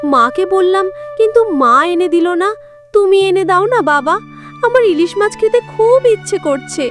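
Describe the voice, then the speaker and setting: A small, wistful cartoon girl with a very high voice pleads again and again. Music plays steadily under her. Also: animated, normal speed. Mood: pleading. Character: poor seven-year-old girl, pleading and wistful.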